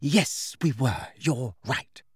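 A man voicing an earnest, impatient teenage schoolgirl with a brisk, insistent manner in a storybook reading. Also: mid-range voice, expressive, husky, dry recording, studio quality, heavily acted. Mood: tense, brisk.

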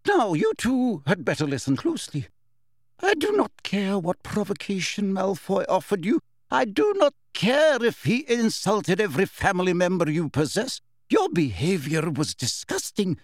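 A man's voice: high-pitched, dry recording, studio quality, pitched above the performer's own voice, exaggerated voice. A man voicing a strict, imperious older female schoolteacher in an audiobook, clipped, indignant and emphatic.

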